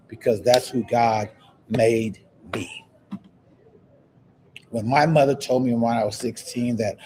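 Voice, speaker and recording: A man's voice: medium pitch, dark tone, low-quality recording. Male livestream host with a lisp and stutter, mid-range voice, upbeat motivational chat, light room noise behind. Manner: repetitive, exclamatory, reading viewer comments aloud. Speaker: host of a live online talk show. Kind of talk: casual motivational livestream talk.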